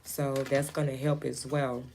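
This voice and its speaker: A woman giving a personal tarot reading in a low, light, calming voice, talking gently to spirit and to her client without a clip-on mic. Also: a little slow, creaky, heavy background noise. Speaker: tarot reader. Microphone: camera mic, no lapel mic.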